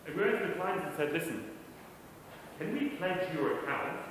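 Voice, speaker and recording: A man's voice: a little high, lively intonation, moderate pace, fairly bright tone. Middle-aged man speaking in an echoey hall. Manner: conversational, self-deprecating, chuckles often. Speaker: conference speaker. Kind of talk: conference talk. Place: large hall. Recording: echoey hall, faint room noise.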